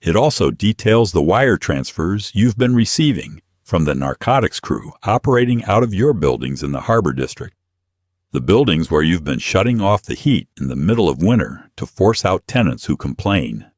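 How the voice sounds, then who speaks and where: Mafia boss for audio story, read by a man: very low, icy, curt, quietly dangerous, with dynamic pitch swings. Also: sing-song, moderate pace, no echo, clean studio sound. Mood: controlled menace. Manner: terse, commanding. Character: cold, menacing mafia boss, quietly furious.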